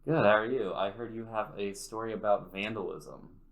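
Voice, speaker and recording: A man's voice: lowish voice, moderate pace, vocal fry. A man hosting a casual interview, introducing and questioning a guest. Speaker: interview host.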